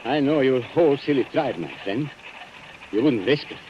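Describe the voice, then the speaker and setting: A man's voice: medium pitch, lively intonation, normal speed, natural voice. A man acting in an old-time radio thriller as a smug, taunting shopkeeper and blackmailer, suave, pompous and mocking. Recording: muffled vintage radio, faint noise.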